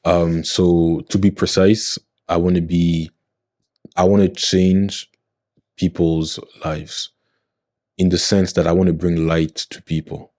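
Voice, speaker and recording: A young man in his twenties with a low, deep voice and a mellow, dark tone. He talks earnestly and in a motivational way, often checking that he is understood. The sound is dry and close. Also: little pitch movement, slow, no echo. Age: 20s. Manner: earnest, motivational, often checks in with "you know what I mean". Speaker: podcast guest. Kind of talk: casual podcast conversation.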